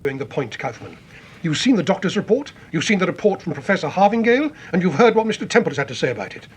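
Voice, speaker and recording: An actor playing an impatient, authoritative police chief in a radio mystery. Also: male voice, sits high, big pitch swings, fast, slightly rough, full character voice. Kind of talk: radio mystery drama acting.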